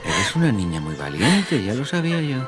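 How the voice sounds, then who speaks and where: An actor with a Castilian accent playing a calm, manipulative young killer in a radio drama, coaxing and falsely reassuring. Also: male voice, lowish voice, moderate pace. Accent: Castilian Spanish. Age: young adult. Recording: music bed under the voice.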